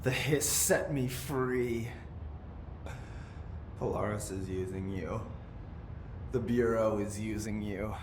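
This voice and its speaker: An eerie possessed young American in a video game. He talks slowly, resentful and entranced, slipping into cryptic chant-like repetition. The room echoes around him over loud background ambience. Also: on the low side, background noise. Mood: resentful, detached. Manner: slow, trance-like, sing-song repetition of cryptic phrases. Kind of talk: video game cutscene acting.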